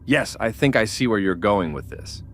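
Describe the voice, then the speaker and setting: A man playing a helpful starship doctor in an amateur sci-fi drama, talking through his work in a measured way. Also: lowish voice, expressive, slight background noise, close to an everyday voice. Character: obliging male starship doctor working the data displays.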